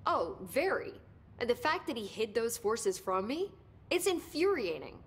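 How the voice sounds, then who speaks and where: An actress playing an earnest, sharp-witted research scientist in a video game, articulate and clinical in her wording. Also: fairly high pitch, very animated, measured pace, slight background noise. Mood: indignant. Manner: articulate, clinical wording, emphatic when stirred. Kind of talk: naturalistic video game acting.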